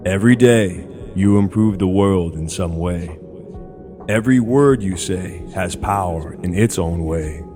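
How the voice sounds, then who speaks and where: A man narrating a scripted guided meditation in a deliberate, soothing way, in short measured phrases. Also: low voice. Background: background music.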